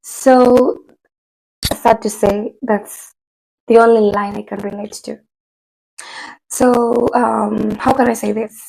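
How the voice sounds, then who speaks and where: A woman talking casually to her viewers, chatty and rambling with many fillers, her voice hoarse from a cold. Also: on the high side, little pitch movement, slow pace, long pauses, darkish tone, poor audio. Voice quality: husky, gravelly. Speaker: vlogger. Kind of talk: casual commentary to camera.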